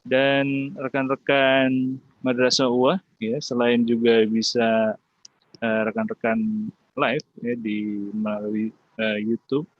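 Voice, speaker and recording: A man's voice: medium pitch, little pitch movement, slow, no room sound, phone-quality sound. A man moderating an online religious study session, speaking politely with many fillers and tag words.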